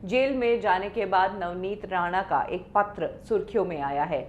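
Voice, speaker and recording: A woman's voice: medium pitch, normal speed, muffled recording, slight background noise. An Indian woman presenting a scripted news explainer in an emphatic storytelling manner.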